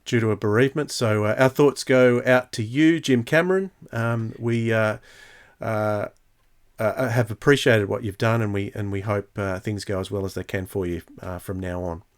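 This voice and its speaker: A man hosting a podcast in a casual, hesitant way, chatting and reading out comments in a halting delivery. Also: fairly low pitch, steady tone. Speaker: podcast host.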